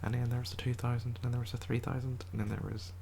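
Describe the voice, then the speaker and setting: An ASMR creator in a quiet house, whispering a soft, rambling show and tell and often correcting themselves, voice a little rough from a cold. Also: mid-range voice, level tone, very fast, a little hiss or hum. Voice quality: whispered, soft-spoken delivery. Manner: rambling, self-correcting, chatty.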